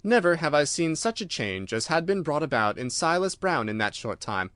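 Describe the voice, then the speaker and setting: A man's voice: a little high, big pitch swings, normal speed, a bit bright, clear, resonant tone, no echo, studio quality. A man reading scripted narration of a classic detective story.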